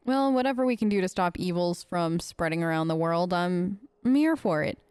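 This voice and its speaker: A woman's voice: lowish voice, expressive, normal speed, a little mellow, smooth, no room sound. A woman hosting a gaming video, casually role-playing her character's side of the dialogue in a chatty, conversational way.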